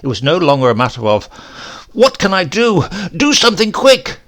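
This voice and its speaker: A man reading a horror story as a shaken, rambling accident survivor, halting and conversational, brooding and prone to outbursts. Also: fairly high pitch, wildly swooping pitch, fairly bright tone. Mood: anguished, urgent. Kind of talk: dramatic first-person horror story reading.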